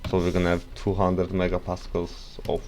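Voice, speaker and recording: A young man with a Turkish accent, casually walking through a software tutorial with rambling asides and self-corrections. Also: deep voice, completely flat delivery, normal speed, slight background noise. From Turkey.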